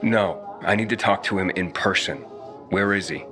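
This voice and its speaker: A man's voice: deep voice, moderate pace, over music, close to an everyday voice. An actor playing a young male survivor who asks dry, blunt questions. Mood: wry.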